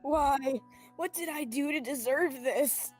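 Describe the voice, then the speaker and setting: A young woman playing a mournful, self-blaming small-town sheriff in a student radio play. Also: sits high. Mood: anguished. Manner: mournful, slow, sighing. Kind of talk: student radio-theatre acting. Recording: dry, music underneath.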